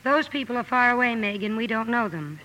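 High, bright, spirited tomboy. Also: female voice, lively intonation, a little fast, poor audio. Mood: earnest, excited. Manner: hearty, emphatic exclamations. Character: spirited, tomboyish young woman, impulsive and blunt. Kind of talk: period radio drama acting with narration.

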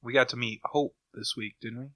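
A man talks informally as a podcast co-host. His voice sits at an average pitch with a bright edge, and the sound is very dry. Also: fairly even intonation, a little fast, dry recording. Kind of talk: casual chat.

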